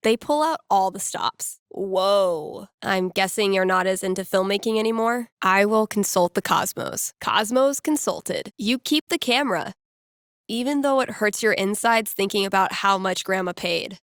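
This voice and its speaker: An actress playing a wry, playful young radio DJ who tells fortunes to callers in a conversational, mock-solemn way in a video game. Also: female voice, medium pitch, normal speed, a bit bright, no echo, clean studio sound, close to an everyday voice. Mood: bright, playful. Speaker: radio host. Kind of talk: video game dialogue as late-night radio call-in show.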